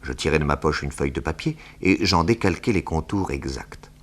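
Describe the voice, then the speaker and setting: An actor playing a composed detective who narrates his adventure in measured, precise French, 1960s radio drama style. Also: a bass voice, expressive, full character voice. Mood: grave, suspenseful.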